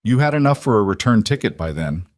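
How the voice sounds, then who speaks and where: Low-voiced man narrating a western. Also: sing-song, fast, no echo, clean studio sound. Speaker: audiobook-style story narrator. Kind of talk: scripted dramatic narration of a western tale.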